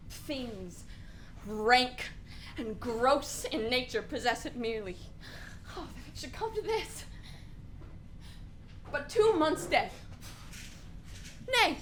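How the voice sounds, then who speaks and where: Brooding young prince, radio Shakespeare: high voice, slow anguished verse, faint background noise. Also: female voice, bright, forceful tone, full character voice. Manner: anguished, drawn-out, exclamatory verse delivery. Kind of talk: Shakespearean radio play acting.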